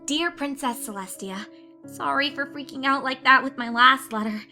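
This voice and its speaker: A woman voicing a guilt-ridden, paranoid young pony student, reading her confessional letters in an anguished, highly emotional way as she unravels. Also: normal speed, soft background music, full character voice. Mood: nervous, composed. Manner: anguished, highly emotional, swinging from hushed to screaming.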